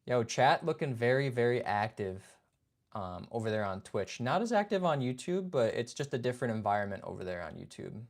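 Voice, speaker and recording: A man's voice: mid-range voice, very animated, brisk. A young man hosting a casual livestream chat. He reads viewer comments, greets people by name and keeps saying "man" and "dude". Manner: laid-back, chatty, says "man" and "dude". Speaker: livestream host.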